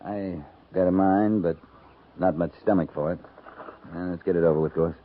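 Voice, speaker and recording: Frontier army captain, played by a man: lowish voice, terse, dry, steady command, old radio drama with tinny, noisy sound. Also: normal speed, close to an everyday voice. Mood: dry, resolved. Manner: terse, dry, understated authority. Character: steady, dry-witted middle-aged cavalry captain on the frontier. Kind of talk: 1950s radio drama acting.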